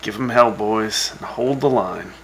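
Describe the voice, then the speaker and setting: A man's voice: on the low side, a little slow, a bit bright, slight background noise, poor audio. A man hosting a radio show in casual DJ patter, with a laid-back, wry and folksy way of talking. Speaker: radio DJ host.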